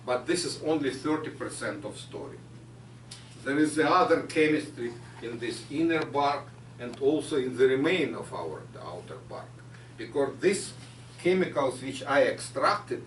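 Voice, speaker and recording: A man with a Ukrainian accent lectures haltingly, with many "uh"s. He sounds distant and echoey in a lecture hall. A faint hiss runs beneath him. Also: mid-range voice. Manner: halting non-native phrasing with frequent fillers. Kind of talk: public science lecture.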